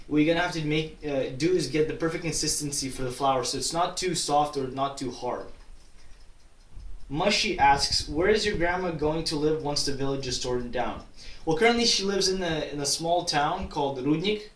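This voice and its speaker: A young man from Kazakhstan with a slightly higher voice chats loosely through viewer questions, stumbling and restarting often. He sounds set back from the mic in an echoey room. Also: a bit bright. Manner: rambling, many restarts and fillers. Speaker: YouTuber. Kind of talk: casual Q&A vlog. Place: at his grandmother's town in Kazakhstan. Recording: roomy echo, distant from mic.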